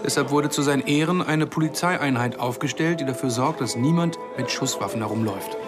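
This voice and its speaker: Man acting ironic Palestinian gentleman, courteous, measured, medium-pitched, music behind, radio play. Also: close to an everyday voice. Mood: sardonic. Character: Palestinian gentleman from East Jerusalem, sardonic, courteous. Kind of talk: radio drama dialogue.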